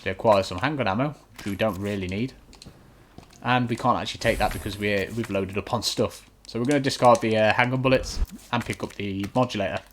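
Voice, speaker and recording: A man's voice: fairly low pitch, fairly quick. A man giving a casual, matter-of-fact walkthrough of a video game, explaining and reassuring as he goes. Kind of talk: casual instructional gameplay commentary. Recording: clearly noisy home recording.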